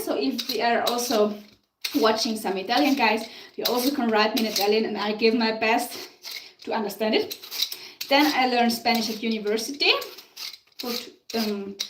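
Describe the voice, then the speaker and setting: A fairly high-voiced woman from Tyrol speaks English with an Austrian German accent, chatting casually to her viewers while she bakes. She sounds roomy and distant, as if caught by a camera mic across an echoey home kitchen. Dishes and cutlery clatter clearly behind her. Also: noisy background. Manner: chatty, answers viewer comments, laughs often. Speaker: live-stream baking host. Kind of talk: casual live-stream chat while baking. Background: clinking dishes and cutlery.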